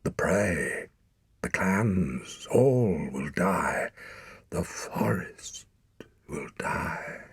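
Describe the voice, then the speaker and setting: A man voicing a dying hunter father, breathless, speaking in faint, halting whispers. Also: sits low, lively intonation, breathy edge, dry recording, heavily acted. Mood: grave.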